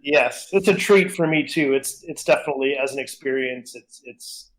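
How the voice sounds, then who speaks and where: A man's voice: mid-range voice, moderate pace, crowd noise, rough recording. A man in casual conversation as a podcast co-host. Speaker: podcast co-host and comic writer. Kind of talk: casual chat.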